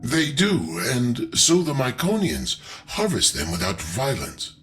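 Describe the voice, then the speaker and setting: A man's voice: mid-range voice, wildly swooping pitch, slow, a bit bright, soft background music, heavily acted. A man playing a shrewd, eloquent scholarly strategist in a video game, speaking in formal, theatrical phrases. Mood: thoughtful, explanatory. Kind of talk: video game character acting.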